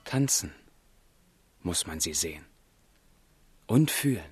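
Radio drama narrator: a lovestruck young man, lowish voice, lyrical, expressive, faint music underneath. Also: slow pace, husky, full character voice. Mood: rapturous. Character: ardent, romantic young man narrating letters to a friend.

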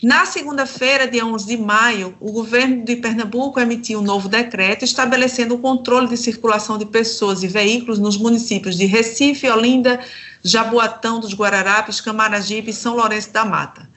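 A woman's voice: fairly high pitch, fairly even intonation, brisk, a bit bright. A Brazilian woman presenting and interviewing as a radio host, in a scripted presenting style.

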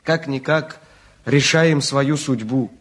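A man's voice: medium pitch, slow, fairly bright tone. A man acting a smug, privileged young man in a Soviet radio drama, ironic and condescending. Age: young adult.

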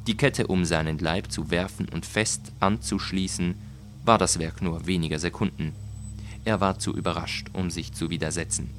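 Low voiced guy reading a gothic audiobook, deliberate and suspenseful, music behind him. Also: fairly even intonation, a bit bright. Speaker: audiobook reader. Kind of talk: dramatic literary narration of a gothic tale.

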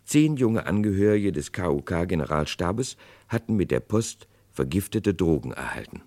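Male narrator, extremely low voice, expressive intonation, dramatic scripted delivery, vintage radio mystery. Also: moderate pace, no echo. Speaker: narrator of a crime radio play.

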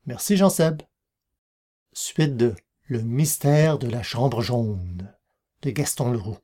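A man's voice: medium pitch, takes it slowly, dark tone, dry recording, studio quality. A man reading scripted literary narration as the narrator of an audiobook.

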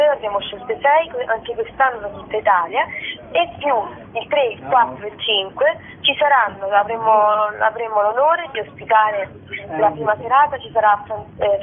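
A woman from rural southern Lazio in Italy, giving a spontaneous interview as a festival director, somewhat hesitant, often repeating words. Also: a little high. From Valle di Comino, southern Lazio, Italy. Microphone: telephone. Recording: noisy, tinny phone line.

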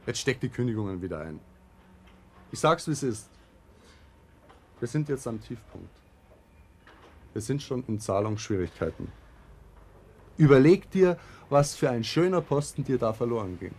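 A man's voice: mid-range voice, very animated, moderate pace, pauses often, darkish tone. An actor playing a worried, frank man pleading his case in a 1960s German radio drama. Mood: urgent. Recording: faintly noisy old radio recording.

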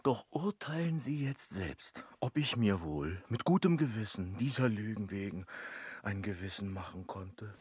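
An actor in a German radio play playing a cheeky, boastful manservant who blusters and swings between sly muttering and protest. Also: male voice, fairly low pitch, expressive, measured pace, a little mellow, breathy edge, dry recording. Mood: sly, smug.

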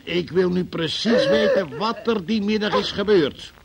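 An actor in a radio drama playing a stern, sardonic, relentless police interrogator who questions formally and presses hard. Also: male voice, high-pitched, measured pace, gravelly, very noisy. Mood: stern, impatient.

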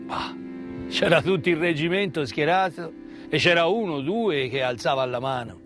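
A man's voice: fairly high pitch, moderate pace, a bit bright. A man delivering a theatrical storytelling monologue, emphatic and rhetorical, full of repetition and questions. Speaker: stage narrator and actor. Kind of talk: theatrical monologue, conversational storytelling about wartime history. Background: live original music.

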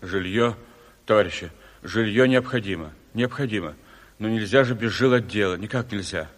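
A man's voice: fairly low pitch, normal speed, close to an everyday voice. An actor playing an earnest, cautious male committee member, emphatic and procedural, in a radio drama. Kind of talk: Soviet radio play acting. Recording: long hall reverb on 1970s radio play.

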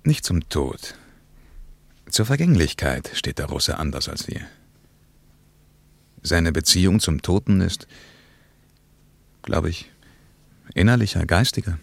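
An actor playing an earnest, reflective war veteran on a journey in a radio play, speaking in a deliberate, philosophising way. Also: male voice, low-pitched, lively intonation, gravelly, no room sound, heavily acted. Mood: earnest, musing.

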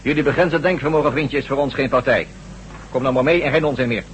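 A man's voice: medium pitch, fast, close to an everyday voice. An actor playing a smug, condescending henchman from a superior race, mocking in manner, in a Dutch radio drama. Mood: smug, commanding. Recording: noisy old radio recording.

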